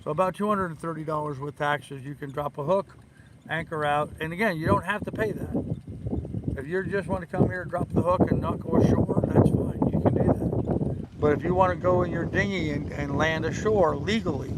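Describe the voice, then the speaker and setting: An older man with a hoarse voice, hosting a rambling, casual live stream in a halting, repetitive way. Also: on the high side. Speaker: live-stream host of a sailing channel. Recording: loud wind and boat noise.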